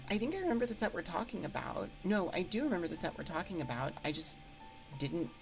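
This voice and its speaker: A woman hosting a casual livestream chat, thinking aloud in a hesitant, halting way into a close microphone. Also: fairly low pitch, sing-song, creaky, soft background music, poor audio. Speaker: livestream host. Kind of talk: casual livestream chat, answering viewer comments. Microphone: close binaural microphone.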